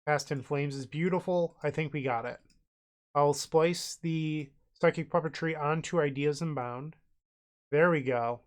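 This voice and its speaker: A man's voice: a little high, measured pace. A man with a cold, sounding congested and nasal, casually narrating his online card game move by move as he thinks aloud. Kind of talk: casual gameplay commentary.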